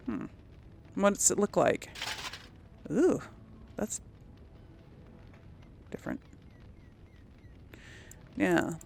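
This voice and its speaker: A woman's voice: lowish voice, wildly swooping pitch, extremely slow, gravelly, pauses often, a little mellow, slight background noise. A woman giving casual game commentary as a let's play host, musing aloud to herself.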